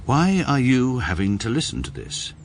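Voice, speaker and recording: An English actor playing a stiff, pedantic middle-aged male barrister who narrates in a dry, clipped, deadpan manner for a radio comedy drama. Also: medium pitch, moderate pace, a little hiss or hum.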